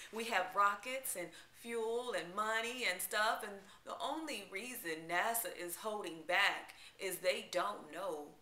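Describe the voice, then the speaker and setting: A woman giving a dramatic spoken-word poetry recital, theatrical and emphatic, with deliberate pauses. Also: medium pitch, bright, forceful tone. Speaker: poetry reader. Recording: roomy, echoing live-room sound.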